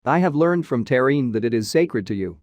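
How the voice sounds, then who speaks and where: A pragmatic spacefaring adventurer for a sci-fi game, voiced by a man. He has an ordinary mid-pitched voice and talks in a casual, direct, slightly dry way. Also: lively intonation, brisk, a little mellow, no room sound, close to an everyday voice. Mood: earnest. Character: adult human space pilot, pragmatic, wry adventurer.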